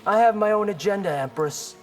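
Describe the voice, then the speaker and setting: A man's voice: sits high, a little fast, faint music behind, close to an everyday voice. An actor playing a cocky, determined young male warrior in a video game, with a terse, wry and defiant manner. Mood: firm, defiant. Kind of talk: video game hero acting.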